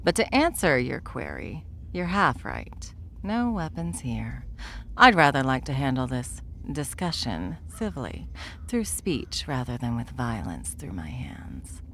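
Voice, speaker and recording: A woman playing an ancient, smug, wry stranger in an ASMR fantasy roleplay, with theatrical, taunting delivery and a gravelly voice. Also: a bass voice, sing-song, a bit bright, a little hiss or hum, full character voice. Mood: wry, disdainful. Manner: sardonic, theatrical, unhurried taunting. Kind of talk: ASMR audio roleplay acting.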